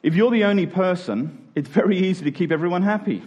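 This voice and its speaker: A man's voice: high voice, fast talker, a little mellow. A man preaching a sermon to a congregation in an emphatic style, using rhetorical questions and repetition.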